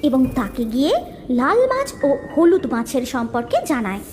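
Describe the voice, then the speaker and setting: A woman narrating a cartoon story in a lively, sing-song way. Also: high voice, normal speed. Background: background music.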